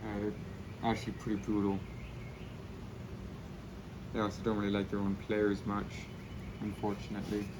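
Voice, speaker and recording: A young man chatting casually and rambling in a low-key way while he spray paints. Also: monotone, normal speed, pauses often, noisy background.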